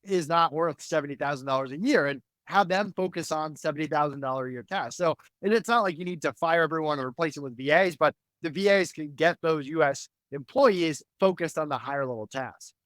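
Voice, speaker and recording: Man in his thirties, slightly high voice, dry close mic, candid podcast guest, filler-heavy. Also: fairly quick, few pauses, a bit bright, no echo. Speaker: entrepreneur guest. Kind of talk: conversational interview.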